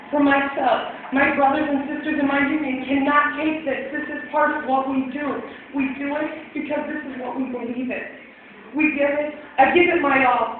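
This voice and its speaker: A woman's voice: high voice, phone-quality sound, slight background noise. A woman from in her thirties giving impassioned public testimony as a probation agent, stressing and repeating her key phrases, recorded from far off on a phone in a large hall.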